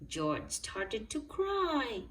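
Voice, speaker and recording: A woman's voice: a little high, huge pitch swings, extremely slow, fairly bright tone, distant mic. A woman reading a children's story aloud expressively, speaking directly to young listeners. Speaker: storybook reader.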